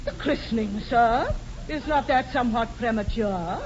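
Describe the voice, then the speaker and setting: A woman's voice: fairly high pitch, moderate pace, close to an everyday voice. A woman playing a pompous, domineering old aristocratic aunt with an upper-class English accent in a 1940s radio comedy. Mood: haughty, indignant. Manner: pompous, emphatic, grandly disapproving. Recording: dull, noisy 1940s radio broadcast.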